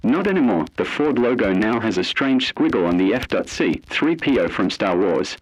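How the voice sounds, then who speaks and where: A man with a fairly low, noticeably husky voice narrates a scripted horror piece. His delivery is solemn and deliberate, with an ominous tone. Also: big pitch swings, low-quality recording.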